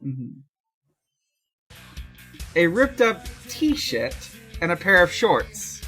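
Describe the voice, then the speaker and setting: A podcast host speaking casually and haltingly, in chat and reading fanfiction aloud. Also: medium pitch, sing-song, pushed and bright, soft background music. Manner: hesitant, halting.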